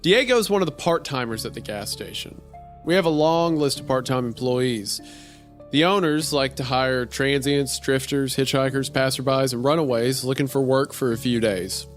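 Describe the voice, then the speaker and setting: A man reading as a deadpan gas station clerk, telling a strange story in the first person in a dry way. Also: mid-range voice, moderate pace, lightly acted. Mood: dry, matter-of-fact. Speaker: podcast host reading a horror story aloud. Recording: music behind the voice.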